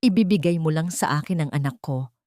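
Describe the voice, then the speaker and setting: A woman's voice: low-pitched, brisk, darkish tone, no echo, studio quality, natural voice. A woman voicing a frightened but determined mother who pleads, in a dramatic audio story. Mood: pleading.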